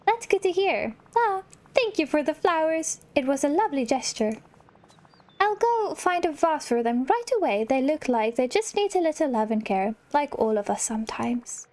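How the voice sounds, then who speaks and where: A woman's voice: high voice, big pitch swings, moderate pace, a little mellow. A woman voicing a sweet, gracious female cartoon mouse in a video game, polite and tender. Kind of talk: reading video game dialogue in character.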